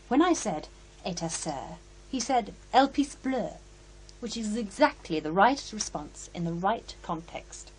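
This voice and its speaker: An actress playing a blunt, unflappable investigator in a horror radio drama, matter-of-fact and wry. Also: female voice, medium pitch, lively intonation, a little slow, slight background noise, close to an everyday voice, rough recording. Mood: wry, certain. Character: blunt, unflappable female investigator who translates French.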